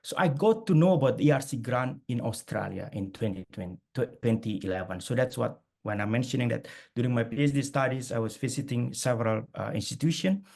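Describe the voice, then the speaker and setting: A man's voice: medium pitch, vocal fry, darkish tone. A middle-aged man from Indonesia giving a webinar talk over a video call, with many fillers and restarts. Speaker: guest speaker. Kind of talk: webinar presentation.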